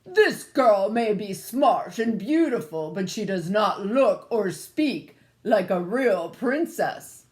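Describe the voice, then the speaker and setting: Casting: dismissive fairy-tale king, read by a woman, pompous, emphatic, slowly drawn-out delivery. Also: medium pitch, animated. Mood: scornful.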